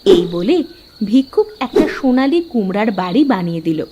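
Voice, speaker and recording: A woman narrating a scripted fairy tale for an animated cartoon. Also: mid-range voice, moderate pace, muffled recording, heavy background noise.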